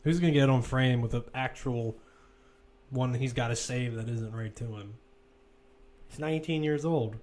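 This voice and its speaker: A man's voice: mid-range voice, normal speed, darkish tone. A man giving live football play-by-play commentary, describing the action as it unfolds in short, clipped phrases. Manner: running present-tense description in clipped phrases.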